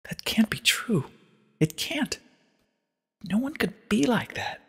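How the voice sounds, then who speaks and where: A breathy young female pony student, played by a man, pitched well above his own voice. She sounds shaken and pleading, speaking haltingly in a storybook reading with long reverb. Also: sing-song, sharp tone, whispered, heavily acted. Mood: pleading denial.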